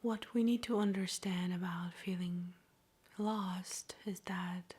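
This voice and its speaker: A woman speaks in a hushed, very breathy whisper, as in a guided ASMR meditation. Her pace is slow, with long pauses, and her pitch stays flat and even. The delivery is gentle and soothing.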